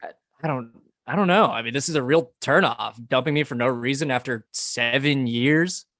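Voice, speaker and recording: A woman's voice: extremely low pitch, normal speed, fairly bright tone. A woman reading a hurt, supportive boyfriend. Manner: eager, then hurt and stammering.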